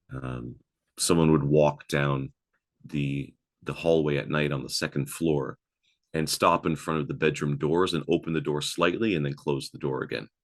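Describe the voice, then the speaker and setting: A man from Newfoundland with a soft Newfoundland accent and a trained, projected podcast voice, telling a family ghost story. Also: extremely low pitch. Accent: Newfoundland, softened by voice training. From Newfoundland, Canada. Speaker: podcast host. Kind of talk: casual podcast chat and storytelling.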